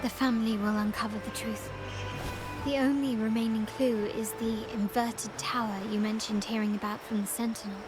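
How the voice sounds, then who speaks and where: An actress playing a poised, hospitable yet menacing woman of a powerful family in a video game, speaking formally and deliberately. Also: fairly high pitch, little pitch movement, natural voice. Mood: serious, explaining. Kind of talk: video game story voice acting. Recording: music playing behind the voice. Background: game music.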